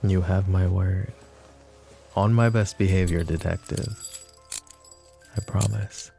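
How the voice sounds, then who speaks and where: Guy doing a cocky mafia boss, deep and slow, teasing the detective, faint music behind. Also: fairly even intonation, gravelly, warm tone, heavily acted. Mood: smug teasing. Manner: teasing, taunting, calls her pet names. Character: smug flirtatious male mafia boss under questioning. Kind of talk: roleplay ASMR.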